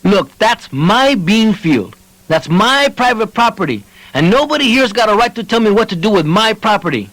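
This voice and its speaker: A man's voice: high-pitched, very animated, normal speed, fairly bright tone, dry recording, pitched above the performer's own voice, exaggerated voice, rough recording. A man voicing a stubborn, hot-tempered farmer in an audiobook, blunt, spluttering and defiant.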